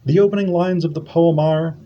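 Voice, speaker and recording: A man presenting an academic conference paper, reading it in a measured and formal way. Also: a little high, steady tone. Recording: dull lo-fi audio.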